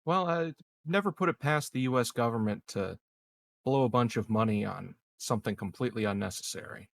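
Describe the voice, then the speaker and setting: A man improvising in-character conversation as a roleplaying player, phrasing things in a measured, halting way. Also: mid-range voice, gravelly.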